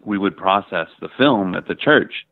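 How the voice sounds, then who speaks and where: A low-pitched middle-aged American man from the Midwest tells stories in a loose, filler-heavy way, swearing casually as he goes. His voice comes through a narrow, phone-like line with a dry sound. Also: lively intonation, moderate pace, no echo. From Ohio and Michigan, US. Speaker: guest photographer. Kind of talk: casual interview chat.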